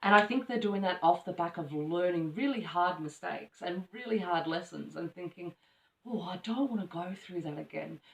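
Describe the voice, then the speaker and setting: A woman giving a casual tarot reading, rambling with many ums and restarts, her voice slightly croaky from allergies. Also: low voice, slight background noise. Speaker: tarot reader. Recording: echoey room from far off the mic.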